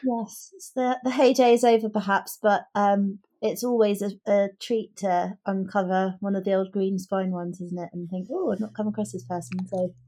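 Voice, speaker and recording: Female, average pitch, podcast co-host, informal book chat, chatty, thinking aloud. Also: fairly even intonation, moderate pace, few pauses, dark tone.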